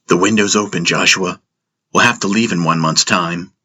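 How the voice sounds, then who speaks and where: A man voicing a seasoned infiltrator agent, a blunt, teasing mentor who talks in a brisk, commanding way. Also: deep voice, bright, forceful tone, soft background music. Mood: businesslike.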